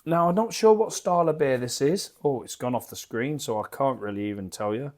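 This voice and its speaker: Croaky man reviewing beer. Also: mid-range voice, fairly quick, darkish tone. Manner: rambling, chatty, self-deprecating. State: croaky from a lingering cough. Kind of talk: casual chatty beer review. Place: home bar. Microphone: desk microphone.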